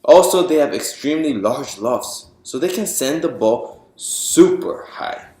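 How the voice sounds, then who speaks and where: A man's voice: mid-range voice, animated, a little slow, warm tone. A man reading a picture book aloud to an audience in an explanatory, storybook narration style. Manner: explanatory, poses questions to listeners and answers them. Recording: roomy echo with music behind.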